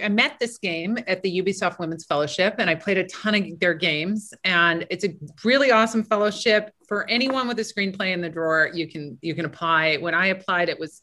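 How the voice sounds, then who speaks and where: A woman's voice: fairly low pitch, bright, forceful tone. A woman screenwriter with a big voice, chatting casually as a podcast guest, self-deprecating and full of restarts.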